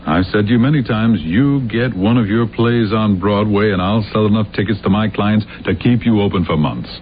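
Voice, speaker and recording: An actor playing a loyal, practical and skeptical friend with a measured conversational manner in a 1970s radio drama. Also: male voice, on the low side, close to an everyday voice. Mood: friendly. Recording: tinny, hissy old radio recording with hum.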